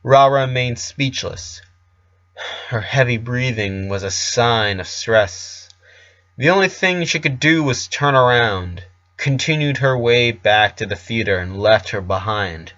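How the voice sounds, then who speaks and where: A man's voice: mid-range voice, measured pace, fairly bright tone, highs cut off. A man reading scripted narration as the storyteller of a fictional tale.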